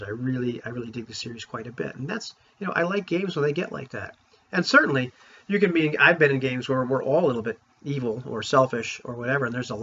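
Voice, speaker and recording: A man talking casually to viewers as a tabletop gaming vlogger, in an informal, rambling, opinionated way, with a husky voice. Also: medium pitch, sharp tone. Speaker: vlogger on tabletop roleplaying games. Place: room at home. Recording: dull, lo-fi sound.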